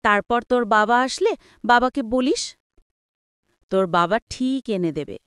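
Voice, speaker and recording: A village mother from a cartoon tale, patient but able to scold. Her voice is fairly high and clean, recorded very dry. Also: expressive, a little slow, clean tone, no echo. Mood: soothing. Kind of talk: Bangla cartoon story dubbing.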